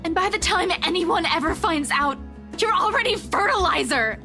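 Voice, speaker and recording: A woman's voice: very high voice, animated, moderate pace. A woman playing a fiercely caring, frustrated young heroine in a horror game, emphatic and impassioned. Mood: angry, scared. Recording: music bed behind the voice.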